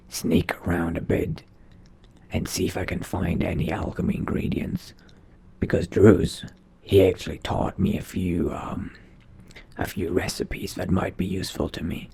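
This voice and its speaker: A man playing a male dark elf vampire mage in a video game roleplay, speaking in a hushed, deliberate, brooding way. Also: low-pitched, heavily acted. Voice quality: husky, whispery, gravelly. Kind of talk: in-character game roleplay monologue.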